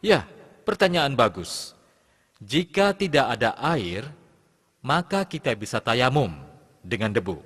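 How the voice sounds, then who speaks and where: Man as cartoon Islamic teacher: patient, formal instructor, animated intonation, long reverb. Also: mid-range voice, a little slow, pauses often, breathy edge, full character voice. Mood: patient, instructive. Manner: formal, didactic. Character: patient male religious teacher in an educational cartoon. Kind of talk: scripted instructional narration.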